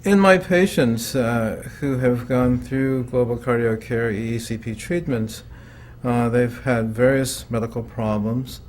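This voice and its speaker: A male physician in an interview, speaking in a clinical, measured way with some fillers. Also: mid-range voice, a little mellow, a little hiss or hum. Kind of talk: interview commentary.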